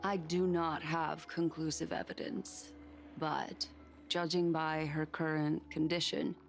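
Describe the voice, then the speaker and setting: An actress playing a composed, scholarly and somewhat mysterious woman in a video game, explaining in measured, formal speech. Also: deep voice, flat delivery, gravelly, soft background music, exaggerated voice. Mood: calm, explanatory. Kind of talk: game dialogue voice acting.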